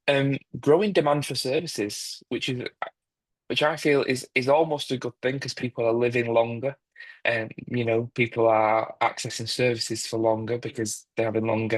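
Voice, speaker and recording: A man's voice: mid-range voice, no echo, rough recording. A man with a broad Manchester accent giving a presentation in an online meeting, talking with many ums and restarts. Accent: Manchester English. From England. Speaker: guest speaker, quality consultant. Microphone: video call.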